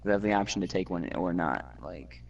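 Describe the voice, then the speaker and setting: Low gravelly man, far from the mic. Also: lively intonation, fairly quick, highs cut off, a little hiss or hum. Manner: rambling, many filler words. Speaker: gaming co-player. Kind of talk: casual gameplay chat.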